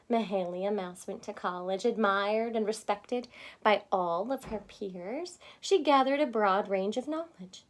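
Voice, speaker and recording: A woman reading a children's storybook aloud expressively, in the manner of someone explaining it to young listeners. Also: on the high side. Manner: expressive, pausing to explain words simply. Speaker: storybook reader.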